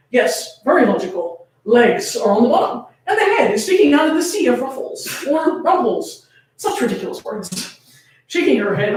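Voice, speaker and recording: A woman giving a dramatic, comedic reading of fan fiction, with an amused manner. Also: fairly high pitch. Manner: amused, often breaking into laughter while reading. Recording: echoey room, distant microphone.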